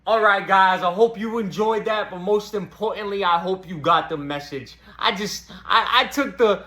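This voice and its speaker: A young man with a Boston accent delivers a loose, profane stand-up bit, pitched noticeably high for a man. He talks like a streetwise buddy, circling back to his catchphrases. The sound bounces around an echoey room. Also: normal speed, bright, forceful tone. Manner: profane, streetwise, repeats "you know what I'm saying". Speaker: comedy channel host. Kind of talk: casual stand-up monologue to camera.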